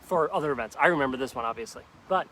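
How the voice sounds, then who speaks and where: A man's voice: medium pitch, lively intonation, rapid-fire, fairly bright tone. A man leading a live workout in a park, coaching upbeat and encouraging while doing the moves himself and joking about his own slip-ups. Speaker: fitness instructor. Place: outdoor park.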